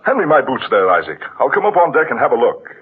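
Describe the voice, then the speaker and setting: Radio-play ship's officer, mid-pitched, commanding but spooked, dull hissy old recording. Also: male voice, wildly swooping pitch, quick pace. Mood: awed. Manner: brusque, dramatic, brooding. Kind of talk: old radio drama acting.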